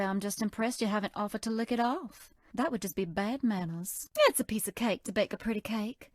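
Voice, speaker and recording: Flirty southern belle baker in a game, drawly and coy, bouncy highish voice, dry close mic. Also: female voice, darkish tone, dry recording. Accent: American Southern. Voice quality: sultry drawl, coy and teasing. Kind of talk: video game character voice lines.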